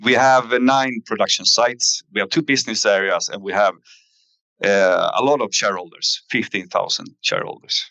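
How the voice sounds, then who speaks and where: A man giving a company investor presentation in a halting way, pausing often with fillers. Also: on the low side, creaky, sharp tone, no room sound. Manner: halting, hesitant delivery with frequent fillers. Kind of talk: investor earnings presentation, semi-scripted.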